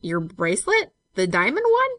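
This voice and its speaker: A woman voices a strong, brooding gentleman in a storybook. He speaks earnestly and intensely, protective and tender with a bitter edge. The sound is close and dry. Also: mid-range voice, lively intonation, fairly quick, no echo, far from the mic, voice pushed up. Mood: tender, fearful. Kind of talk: dramatised storybook reading.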